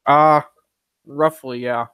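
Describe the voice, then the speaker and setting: A young man chatting casually, voicing firm opinions in quick bursts with frequent restarts. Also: medium pitch, steady tone, a bit bright, smooth, no room sound, studio quality. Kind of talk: casual chat.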